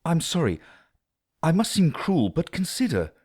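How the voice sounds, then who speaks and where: A very deep, mellow young gentleman as a woman narrator puts him on, with a widely swinging intonation. He is earnest and compassionate, speaking with measured concern in a literary story. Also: huge pitch swings, husky, exaggerated voice. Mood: regretful, firm.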